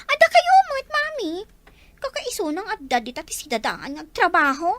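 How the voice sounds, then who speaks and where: A lazy teenage girl, voiced by a woman in a radio drama. She whines in an extremely high, swooping voice over faint background noise. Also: huge pitch swings, moderate pace, bright, forceful tone, full character voice. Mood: whining. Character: lazy, whining teenage schoolgirl daughter. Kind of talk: Filipino radio drama acting.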